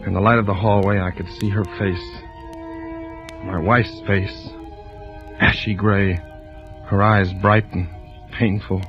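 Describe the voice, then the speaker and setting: An actor playing an anguished husband and father, narrating in a grave, deliberate 1940s radio style. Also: lowish voice, level tone. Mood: somber narration. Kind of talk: 1940s radio acting, first-person narration. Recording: muffled old radio broadcast, music behind.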